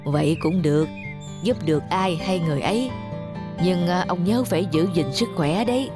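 A woman's voice: lowish voice, normal speed, over music, close to an everyday voice. A woman voicing a caring old grandmother in a cartoon, mildly chiding and concerned. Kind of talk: cartoon dub acting.

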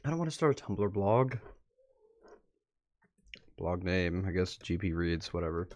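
A man with a fairly low voice hosts a livestream in a casual, chatty way. He talks as if to viewers and to himself, dropping muttered asides as he works through what is on his computer. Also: lively intonation, unhurried. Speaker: livestream host. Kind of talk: casual livestream commentary, reading posts aloud. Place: indoors at a computer.

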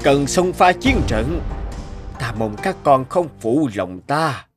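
A man's voice: medium pitch, animated, slow pace, over music. A man acting cartoon fairy-tale royalty, a formal, commanding ruler and war leader who declaims his lines. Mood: solemn.

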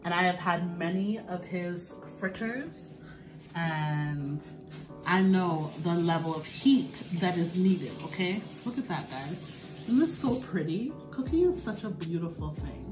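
A woman's voice: on the low side, expressive, unhurried, rough recording. A woman hosting a home cooking video, chatty, upbeat and teasing while she films in the kitchen. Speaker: host. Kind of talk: casual cooking show chat. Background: background music.

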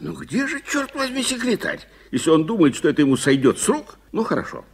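An actor in a 1980s Soviet radio drama playing an impatient, hot-tempered gentleman who fires insistent questions. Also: fairly high pitch, very animated, fairly quick, gravelly, slightly rough, exaggerated voice. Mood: exasperated.

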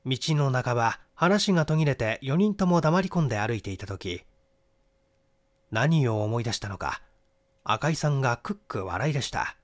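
A middle-aged man narrates literary mystery fiction. His medium-pitched voice moves up and down in a lively way, giving the reading a dramatic, expressive shape. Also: normal speed. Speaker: audiobook narrator, stage actor.

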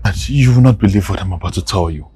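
A young man with a Nigerian accent playing an excitable but respectful son in a film drama. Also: low-pitched, quick pace, slightly rough, dry recording. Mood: agitated. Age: young adult.

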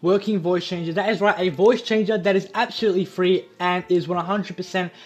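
A man's voice: high voice, fairly even intonation, fairly quick, a little mellow, highs cut off. A young man hosting a casual tutorial in a chatty, eager, repetitive way. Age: young adult. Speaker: YouTube tutorial host.

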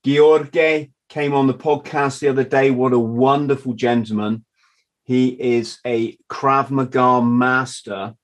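A middle-aged male podcast host speaking over a video call from his home office. He talks in an unhurried, drawn-out way, chatty and self-deprecating, with an easy laugh. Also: medium pitch, steady tone. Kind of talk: casual interview chat. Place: home office with a wall map. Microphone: video call webcam.

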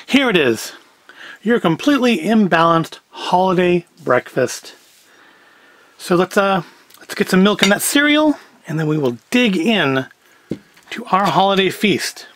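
A man's voice: sits high, sing-song, unhurried, long pauses, a bit bright. A middle-aged man giving casual cooking and food review commentary with dry, self-deprecating humour. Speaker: video host. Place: indoors at home, kitchen and tabletop set.

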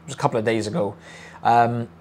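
A man's voice: medium pitch, fairly even intonation, quick pace, a little mellow, a little hiss or hum. A young man with a broad, strong South Welsh accent, chatting casually and a little hesitantly as a co-presenting audiologist. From South Wales. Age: young adult. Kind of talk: casual chat.

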